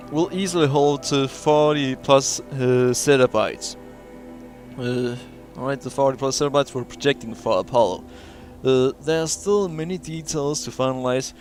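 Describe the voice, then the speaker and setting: A man casually playing a video game and reading its text logs aloud. He reads haltingly, filling gaps with "uh" and the odd chuckle, in a voice of middling pitch. Game music plays faintly behind him. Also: darkish tone. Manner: halting read-aloud with many "uh" fillers and chuckles. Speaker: gamer playing through a game.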